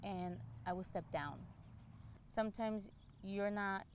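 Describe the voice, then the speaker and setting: A woman's voice: mid-range voice, slow pace. Middle-aged woman thinking aloud, background noise. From El Salvador. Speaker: interview guest, law enforcement candidate for office. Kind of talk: casual, candid interview answers.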